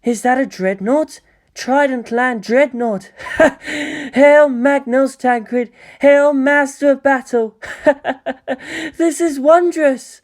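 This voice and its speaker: A woman's voice: very slow, a little mellow. A woman doing a giddy girl gushing over war machines. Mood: ecstatic. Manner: gushing, shrill, laughing. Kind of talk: audiobook reading of fan fiction.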